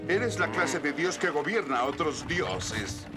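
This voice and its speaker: Grovelling cartoon courtier, sing-song flattery, loud music underneath. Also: male voice, a little high, a little slow. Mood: fawning. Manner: fawning, obsequious. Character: fawning male court flatterer.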